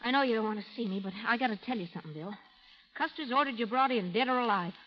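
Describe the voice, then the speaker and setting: A woman playing a tough, lovesick frontier woman in an old radio drama, with rough, scolding Western speech. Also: fairly high pitch, expressive, fast, slight background noise, natural voice. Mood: urgent. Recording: muffled old radio recording with music.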